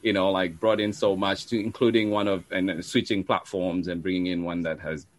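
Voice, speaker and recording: Low-voiced man chatting in an interview. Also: little pitch movement, brisk. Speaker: interview guest, children's storytime host. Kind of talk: casual conversational interview talk.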